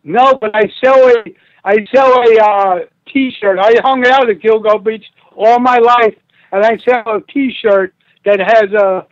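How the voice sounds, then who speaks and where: An older man, the guest on the show, answering slowly and defensively over a remote line. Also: high voice, fairly even intonation, no room sound, highs cut off. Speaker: interview guest. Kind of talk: call-in interview.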